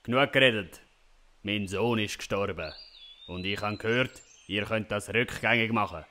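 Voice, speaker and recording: Blunt low-voiced Swiss father played by an actor. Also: moderate pace, sharp tone. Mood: impatient. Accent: Swiss German. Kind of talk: comic audio drama acting. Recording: faint birdsong and outdoor noise.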